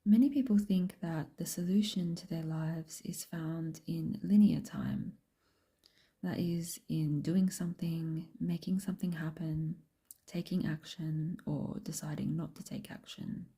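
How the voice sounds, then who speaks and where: A woman guiding a meditation in a soft, breathy whisper, speaking gently and unhurriedly with long pauses. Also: low voice, steady tone. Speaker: meditation guide and host. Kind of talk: slow guided meditation, soft whispered speech.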